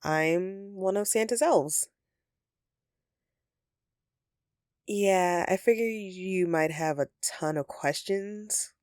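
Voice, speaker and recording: A woman's voice: medium pitch, slow, darkish tone, no echo, studio quality, natural voice. A woman playing a flustered, friendly Christmas elf secretly living as a roommate, speaking hesitantly and earnestly in an audio roleplay to the listener. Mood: flustered, sheepish. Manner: hesitant, sheepish, conversational and earnest.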